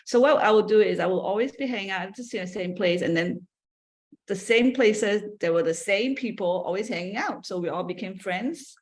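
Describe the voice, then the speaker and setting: A woman's voice: medium pitch, normal speed. Chatty Malaysian woman telling her story. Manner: conversational, with frequent fillers and self-corrections. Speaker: seminar guest speaker. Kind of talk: personal talk to an audience.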